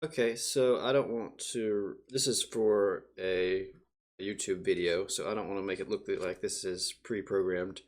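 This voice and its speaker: A man's voice: low-pitched, fairly even intonation, normal speed. A man speaking casually as a player, making offhand requests to a game master. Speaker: player in a voice-driven role-playing demo.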